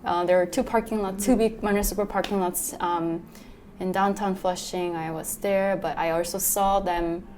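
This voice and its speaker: A woman's voice: fairly low pitch, steady tone, moderate pace, darkish tone. Hesitant young woman, faint room noise. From Korea. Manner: hesitant, many fillers. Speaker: documentary interviewee. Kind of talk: casual testimony.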